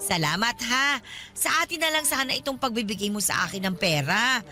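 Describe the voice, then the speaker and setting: A woman's voice: on the high side, lively intonation, moderate pace, pushed and bright, faint music behind. An actress playing a pushy, needy elderly godmother in a Filipino radio drama, wheedling and insistent as she talks. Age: older.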